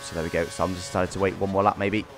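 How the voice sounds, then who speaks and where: Very fast-talking man commentating on racing. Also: deep voice, level tone, over music. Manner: explanatory, recapping. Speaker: video creator commentating on his own racing game race. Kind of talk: casual race commentary.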